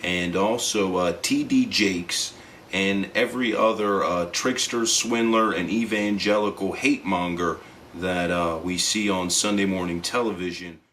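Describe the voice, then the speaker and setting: A man with a deep voice gives interview answers for a documentary. His delivery is halting yet emphatic, and faint noise sits behind him. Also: steady tone, fairly bright tone. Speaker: comic book artist.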